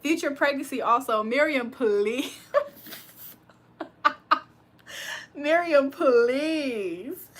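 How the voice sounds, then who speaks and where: A woman's voice: high voice, expressive, extremely slow, pauses often. A young woman from Virginia hosting a livestream, reading comments aloud and reacting with frequent laughs. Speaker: livestream host reading chat. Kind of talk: casual live chat.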